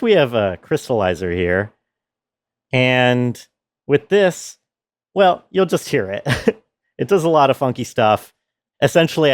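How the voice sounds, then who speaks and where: A man's voice: on the low side, dry recording, clean studio sound. A man presenting his sound design work in a casual, explanatory tutorial style.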